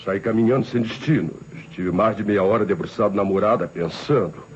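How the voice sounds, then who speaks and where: An actor playing a nervous, anguished, defensive murder suspect with a Brazilian accent in an old radio drama. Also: male voice, on the low side, brisk, natural voice. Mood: troubled. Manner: hesitant, defensive, pleading. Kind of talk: old Brazilian radio mystery play acting. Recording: dull, noisy vintage radio recording.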